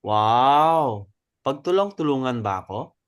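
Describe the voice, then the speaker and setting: A lively Filipino man's voice that swoops up and down, an actor playing a cheeky club member in an audio drama. He is theatrical and quick to take offence. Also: medium pitch, clean tone. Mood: exclaiming. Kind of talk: dramatic reading.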